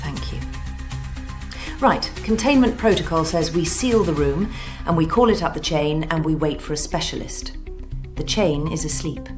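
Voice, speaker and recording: An actress playing a brisk, wry woman in charge of a secret archive, clipped and dry, in an audio drama. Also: low voice, close to an everyday voice. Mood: tense, determined. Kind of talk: scripted audio drama acting. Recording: music under the voice.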